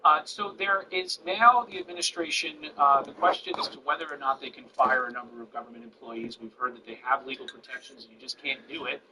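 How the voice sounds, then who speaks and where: A man working as a news anchor, introducing a guest and asking questions in a brisk, conversational way. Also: medium pitch, sharp tone, low-quality recording. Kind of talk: broadcast news interview. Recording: broadcast clip with noticeable background noise.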